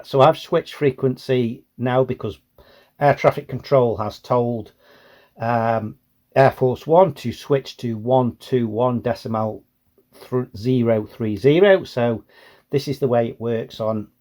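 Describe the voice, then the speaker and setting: A man's voice: medium pitch, slow pace, darkish tone. A British man giving a casual, plain-spoken explanation as a hobbyist presenter.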